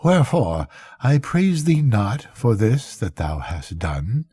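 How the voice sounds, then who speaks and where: A man acts out an old bishop from a storybook, weary of the world and solemn. His speech is slow and archaic, full of grave questions. The voice is low and husky. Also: sing-song, mellow, no echo, full character voice. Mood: admonishing.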